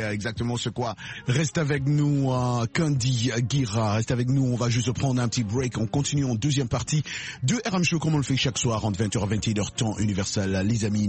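A radio host from Congo interviews a singer, halting and filling gaps with "euh". His voice moves up and down in pitch. Soft music plays faintly behind him. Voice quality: animated, hesitant with many fillers. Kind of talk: radio interview and show presenting.

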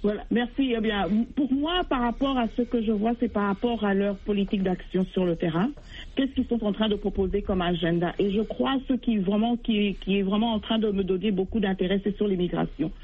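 A woman's voice: medium pitch, a little fast, breathy edge. A woman comes through a thin, tinny phone line. She speaks earnestly as a caller and often circles back over her words as she looks for the right phrasing. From Congo. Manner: earnest, repeats words while searching for phrasing. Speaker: caller, voter. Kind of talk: radio call-in commentary.

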